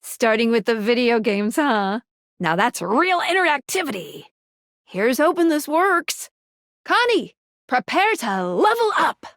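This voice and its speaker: Animated, eager game companion. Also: female voice, a little high, unhurried, no echo, clean studio sound. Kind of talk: video game character voice lines.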